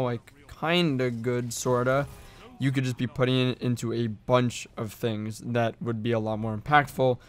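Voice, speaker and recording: Man, hoarse cracking voice, casual game explainer, thinking aloud, mid pitch. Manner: conversational, thinking aloud with hedges. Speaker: gaming video creator.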